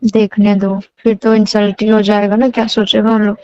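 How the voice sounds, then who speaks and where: A woman's voice: medium pitch, flat delivery, moderate pace, a little mellow, smooth. A young woman chatting casually and intimately on a phone call, coy, often answering with short hums. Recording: dull lo-fi phone call recording, roomy.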